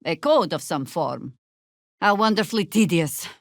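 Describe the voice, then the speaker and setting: An actress playing a weathered older female druid in a video game, wry and commanding, with a dry, deliberate delivery. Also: lowish voice, expressive, dry recording. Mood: wry, measured. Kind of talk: dramatic game dialogue.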